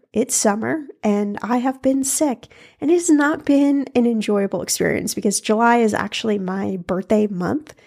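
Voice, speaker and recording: A woman hosting a money podcast, hoarse and froggy from a recent cold, chatting casually and laughing between points. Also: a little high, darkish tone. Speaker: podcast host. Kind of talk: casual solo podcast talk.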